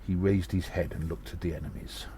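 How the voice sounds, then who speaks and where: A man playing a small, agile adventurer who recounts battles in an audio drama, earnest and dramatic, with halting pauses. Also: sits low, steady tone, background noise, natural voice. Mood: gripped, suspenseful. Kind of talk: first-person audio drama narration.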